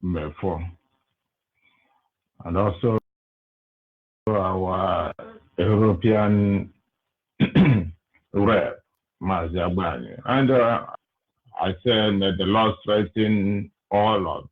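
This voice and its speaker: A man from Nigeria with a Nigerian accent speaks over a tinny phone line. His voice is low and his pace slow and unhurried, with frequent pauses and fillers as he works through his greetings. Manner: slow, hesitant, many fillers. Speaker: caller. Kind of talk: call-in greetings and comment.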